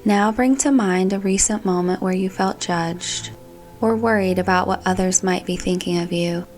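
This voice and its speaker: A woman narrating a scripted guided meditation in a calm, gentle, soothing manner. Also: medium pitch, moderate pace. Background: background music.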